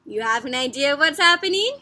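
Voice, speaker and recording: A woman doing an interactive read-aloud for young children, expressive and encouraging, often putting questions to her listeners. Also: sits high, a little fast. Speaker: children's storybook read-aloud host and teacher.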